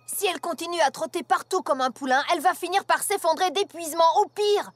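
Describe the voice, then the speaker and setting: Jeune jument franche, voix haute. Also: female voice, very high voice, quick pace. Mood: indignant. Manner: frank, plain-spoken, concerned. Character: earnest, protective young adult big-sister mare. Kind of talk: animated cartoon dubbing. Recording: faint background music.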